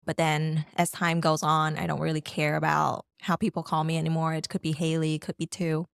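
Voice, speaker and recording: A woman's voice: deep voice, monotone, a little fast, creaky, clean tone, dry recording, clean studio sound. A young woman from Vietnam, a Vietnamese speaker, chatting as a podcast guest in an easygoing way with many fillers. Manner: easygoing, laughing, lots of fillers. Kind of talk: casual podcast chat.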